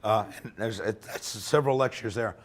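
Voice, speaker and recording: A man with a fairly low voice lectures a workshop in a loose, conversational way. His voice is wearing out and turning hoarse, and he keeps checking in with the room. It sounds like a lecture room. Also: brisk. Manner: conversational, often ends points with "okay?". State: losing his voice. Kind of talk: informal technical lecture.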